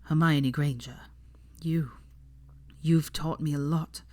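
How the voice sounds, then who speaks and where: Lady reader doing a soft, nervous young guy, slow and quiet, halting. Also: sits low, steady tone, dry recording. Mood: hesitant. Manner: hushed, hesitant, halting. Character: young man, guarded, soft-spoken and nervous.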